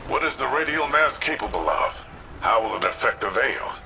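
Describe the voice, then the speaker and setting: An actor playing a grave, dutiful guardian of a city in a video game, serious, measured and guarded. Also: male voice, mid-range voice, lively intonation, gravelly, noisy background. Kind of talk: dramatic video game acting.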